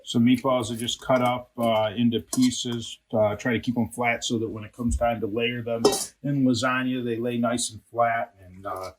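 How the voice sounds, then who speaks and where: Man, from America, cooking show co-host, easygoing wry commentary, middle pitch, clear clatter of pots and utensils. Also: level tone, normal speed, very noisy. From United States. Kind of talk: casual cooking demonstration. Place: kitchen.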